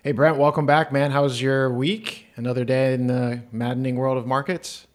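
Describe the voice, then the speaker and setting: A man's voice: medium pitch, little pitch movement, normal speed. A man with a mid-range voice hosts a podcast. He introduces the episode and talks with his guest in an upbeat, conversational manner. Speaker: podcast host.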